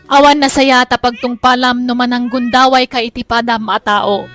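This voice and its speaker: A woman's voice: on the high side, level tone, moderate pace, over music. A woman delivering a scripted introduction as the announcer of an audio drama.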